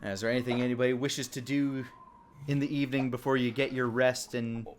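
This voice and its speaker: A man's voice: medium pitch, fairly even intonation, slight background noise. Man improvising tabletop game narration. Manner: descriptive, conversational, halting. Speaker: dungeon master.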